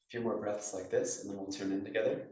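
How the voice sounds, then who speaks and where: A man with a low voice guides a meditation class as a yoga teacher. His delivery stays even, in measured phrases with pauses. He sounds distant from the mic, in a heavily echoing room. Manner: measured phrasing with pauses, instructing breath and posture. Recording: echoey room, distant microphone.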